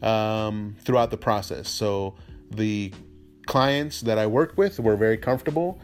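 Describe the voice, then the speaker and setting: A man whose first language is Spanish, giving an informal explanatory talk as a real estate agent, halting with frequent mid-sentence pauses. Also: fairly low pitch, smooth, soft background music. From immigrant to the US from a Spanish-speaking country.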